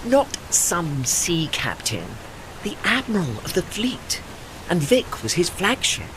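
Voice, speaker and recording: A woman playing a dignified, retired old warship in an audio drama, gentle and wistful, speaking in a measured, articulate and formal way. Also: fairly low pitch, sing-song, a little hiss or hum, exaggerated voice, poor audio. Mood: solemn recollection.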